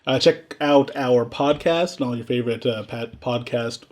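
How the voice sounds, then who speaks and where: A man's voice: mid-range voice, moderate pace. A man co-hosting a casual spirits review podcast, joking and laughing between his points. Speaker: podcast co-host.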